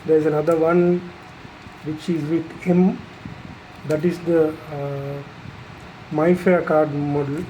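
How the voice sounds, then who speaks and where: A person giving an unscripted, explanatory product demonstration in a halting, matter-of-fact way, pausing mid-phrase. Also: mid-range voice, flat delivery, warm tone, slight background noise, low-quality recording. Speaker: installer presenting a product tutorial.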